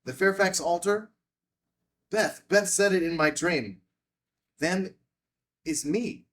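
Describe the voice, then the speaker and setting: A man's voice: sits high, slow, a bit bright. A man acting in a table read as a dazed, earnest man who speaks hesitantly in broken phrases.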